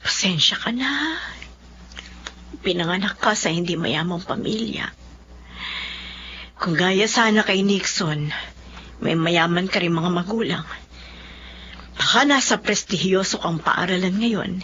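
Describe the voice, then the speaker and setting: Radio drama mother: poor, worried, apologetic, bright emotional voice, dull recording. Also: fairly low pitch, expressive, a little slow, long pauses, slight background noise. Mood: regretful, self-blaming. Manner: emotional, plaintive. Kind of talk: Filipino radio drama acting.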